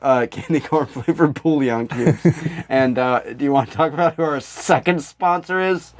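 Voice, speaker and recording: A man's voice: a little high, normal speed, slight background noise. A man co-hosting a podcast with casual comedic banter, swearing freely and often laughing. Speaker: podcast co-host.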